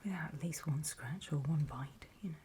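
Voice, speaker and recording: A woman playing a grave, weary apocalypse survivor in a horror ASMR roleplay, speaking in a soft, breathy, hesitant whisper. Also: sits low, normal speed, a little hiss or hum, natural voice. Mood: weary, ominous. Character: grave, weary female apocalypse survivor briefing others on zombies.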